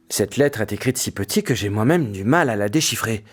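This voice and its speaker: A gallant young cartoon prince, earnest and naive. He speaks slowly and courteously, with theatrical swings in pitch, over clear music. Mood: puzzled.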